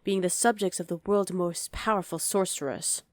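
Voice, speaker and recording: A woman's voice: fairly low pitch, fairly even intonation, moderate pace, dry recording, close to an everyday voice. A woman voicing a dominant, haughty evil queen in an ASMR roleplay, imperious, sly and condescending. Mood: scornful, exasperated.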